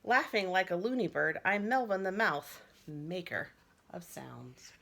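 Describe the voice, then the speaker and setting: A woman's voice: on the low side, very animated, measured pace, gravelly, fairly bright tone, a little hiss or hum, exaggerated voice. A woman voicing an imaginative, boisterous schoolboy in a children's storybook, dramatic and full of sound effects. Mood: playful, boisterous. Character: imaginative, boisterous schoolboy who loves noises. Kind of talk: children's storybook narration in character.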